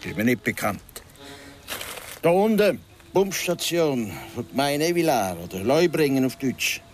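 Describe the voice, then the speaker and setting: A man's voice: medium pitch, very animated, a little slow, faint music behind. An actor playing a wary, guarded local man in a radio drama, with a Swiss German accent and a terse, formal manner. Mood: reserved, explaining.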